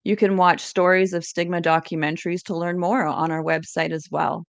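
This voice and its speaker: Gravelly-voiced woman presenting. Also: fairly low pitch, flat delivery, normal speed, dry recording. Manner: scripted, encouraging, list-like delivery. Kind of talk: educational presentation.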